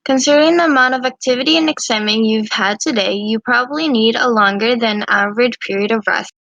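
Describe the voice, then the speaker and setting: Woman as caring visual novel girl, high voice, formal wording, gently insistent, dry muffled audio. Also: quick pace, dry recording. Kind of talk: visual novel character acting.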